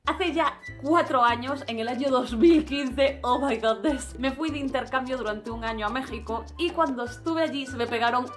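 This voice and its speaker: A young woman with a Spanish accent from Spain, chatting casually to camera as a travel vlogger, animated and expressive. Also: high-pitched, moderate pace, few pauses, soft background music. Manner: animated, expressive, gestures a lot. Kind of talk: casual vlog monologue. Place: small room indoors.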